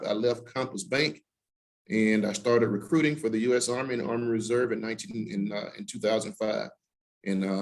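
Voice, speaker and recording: A middle-pitched man in his fifties speaks to a room of students as an Army recruiter. He stops and starts often and fills the gaps with plenty of hesitation sounds. His pitch sits right in the middle for a man. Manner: halting, heavy with filler sounds. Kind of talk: presentation to students.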